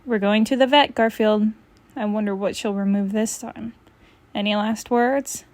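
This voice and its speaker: A woman reading a comic storybook aloud in an expressive, acted style. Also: medium pitch, moderate pace. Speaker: storybook reader.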